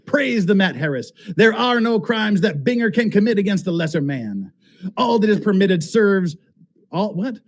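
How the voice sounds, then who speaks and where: Male reader, high and rough-edged voice, theatrical read-aloud with an amused commentator's touch. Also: lively intonation, moderate pace. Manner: amused, often breaking into laughter as he reads. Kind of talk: dramatic reading of a written text with amused asides.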